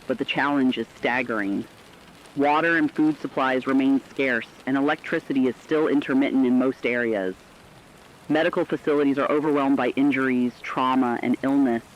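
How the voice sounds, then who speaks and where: A serious radio reporter voiced by a man at an average pitch. He reads a fictional catastrophe bulletin in measured, scripted narration. The sound is dull and lo-fi, with rain behind it. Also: slight background noise, close to an everyday voice.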